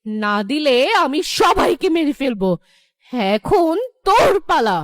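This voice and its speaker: A woman voicing the vengeful, menacing ghost of a young housewife in a horror cartoon, with drawn-out threats. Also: high voice, big pitch swings, fairly bright tone, no echo, studio quality, heavily acted. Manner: slow, drawn-out threatening delivery.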